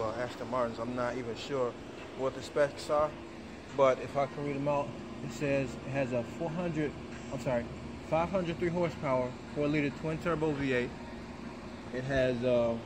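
Man, car vlogger voice: flat and unhurried, reads specs off the sticker, filmed on a handheld camera in a showroom with steady hum behind. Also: medium pitch. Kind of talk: casual walkaround commentary.

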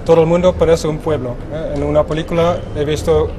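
A man interviewing a guest, asking short questions and murmuring softly in agreement. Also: on the high side, flat delivery, a little fast, a crowd in the background. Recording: outdoor city noise, traffic.

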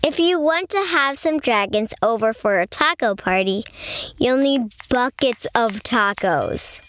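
A very high-pitched, youthful female voice reads a picture book aloud for children. She takes her time with each line and delivers it expressively. Music plays clearly behind her. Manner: expressive, addressing young listeners. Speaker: children's storytime reader.

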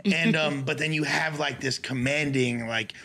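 Male host, bright and a bit high, teasing, rambling. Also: fairly even intonation, normal speed. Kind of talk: casual interview chat. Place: podcast studio lounge.

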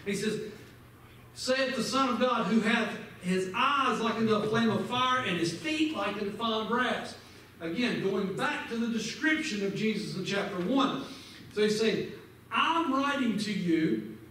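A man leads a Bible lesson from the front of a large church, his voice pitched high and fervent as he quotes scripture and presses his listeners. The sound is heavily echoey, heard from a camera far back among the pews. A faint hiss of room noise sits underneath. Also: animated, unhurried, pushed and bright. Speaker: Sunday school teacher. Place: large church sanctuary, indoors.

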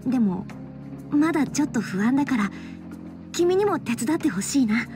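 A woman's voice: high voice, expressive, normal speed, over music. An actress playing a sweet, earnest teenage girl in love in a game romance, intimate and girlish. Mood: tender, earnest. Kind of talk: Japanese game romance acting.

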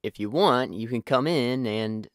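A man's voice: mid-range voice, unhurried, no echo, clean studio sound, lightly acted. A man voicing a cool, laid-back young male hedgehog hero in a cartoon comic dub. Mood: casual, friendly.

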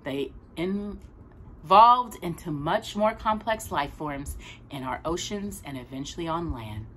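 A middle-aged woman reading a children's book aloud expressively, with deliberate, dramatic phrasing, as a storytime host. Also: low-pitched, animated, fairly bright tone, a little hiss or hum. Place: small room at home with bookshelves.

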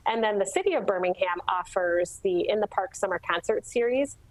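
A woman's voice: mid-range voice, expressive, a little fast, low-quality recording. A middle-aged woman giving event information as an interview guest over a video call on an earbud mic. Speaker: interview guest, shopping district representative. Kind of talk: informational interview talk about local events. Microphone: earbud headset on a video call.

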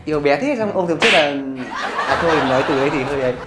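A man's voice: a little high, lively intonation, racing. A man in his twenties bantering casually as a quiz contestant. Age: 20s. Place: indoor studio, seated at table. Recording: roomy echo, music underneath.

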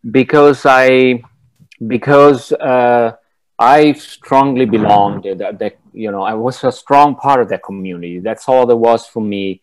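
A man in his forties from Italy with an Italian accent, a film director giving reflective interview answers on a video call through wired earphones, thoughtful and halting as he searches for words. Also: medium pitch, dry recording, rough recording. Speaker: film director, masterclass guest. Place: home room, indoors. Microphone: wired earphones with mic on a video call.

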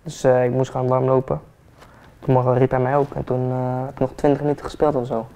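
An eighteen-year-old man, a football player, chatting relaxed and hesitant with many fillers while getting a haircut in a barbershop. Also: medium pitch, fairly quick. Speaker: football player, interview guest. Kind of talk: casual interview chat. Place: barbershop, indoors, seated in barber chair.

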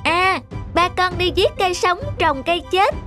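Very high, bright clever little boy. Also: normal speed, soft background music. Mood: artful, confident. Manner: polite, confident, artful. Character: clever, polite, cheeky young village boy. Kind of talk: animated cartoon acting.

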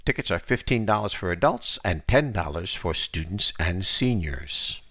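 Low-pitched older American male podcast host. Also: animated, normal speed, fairly bright tone. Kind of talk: announcing and interviewing on a podcast.

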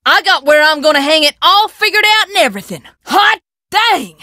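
A woman's voice: sits high, expressive, normal speed, sharp tone, no echo, clean studio sound. A woman voicing a sassy, bossy frontier shopkeeper with a drawling American country twang in a video game. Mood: eager, bossy. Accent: rustic American country twang. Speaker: keeper of an old-time museum and taffy shop. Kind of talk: video game character dialogue.

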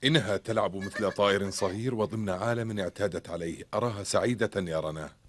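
A man playing a tender, devout middle-aged father in an Arabic cartoon dub, speaking in a measured, earnest way. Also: lowish voice, close to an everyday voice. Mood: earnest, hopeful. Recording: noisy old cartoon soundtrack.

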